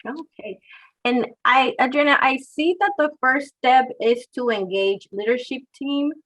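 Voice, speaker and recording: A woman's voice: sits high, a little slow, a bit bright, poor audio. A woman hosting a webinar, reading introductions and asking her guest questions in a friendly, scripted way. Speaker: webinar host.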